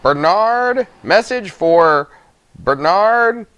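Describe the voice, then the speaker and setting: Man doing a high insistent chanting gnome. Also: animated, very slow, fairly bright tone, clear, resonant tone, full character voice. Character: chubby gnome messenger, relentlessly chanting deliveries. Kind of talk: children's storybook reading.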